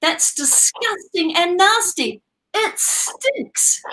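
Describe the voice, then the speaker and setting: A woman's voice: very high-pitched, expressive, very slow, bright, forceful tone, pitched above the performer's own voice, heavily acted, rough recording. A woman voicing a doting, easily appalled mother of a toddler in a children's picture book, with dramatic exclamations. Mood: appalled, disgusted.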